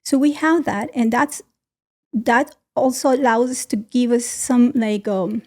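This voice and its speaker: A woman's voice: fairly high pitch, fairly even intonation, measured pace, darkish tone. A woman speaking as a podcast guest, explaining ideas informally and discursively with non-native phrasing. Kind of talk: conversational explaining.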